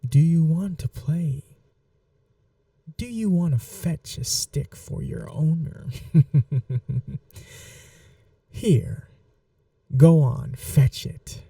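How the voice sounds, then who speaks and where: Woman playing a dark, slow-purring vampire mistress. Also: low-pitched, dry recording, clean studio sound, distant mic, heavily acted. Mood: playful, taunting. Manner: condescending, purring, coaxing like an owner to a pet. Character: possessive, teasing female vampire mistress, sweetly cruel. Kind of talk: ASMR roleplay, slow intimate monologue.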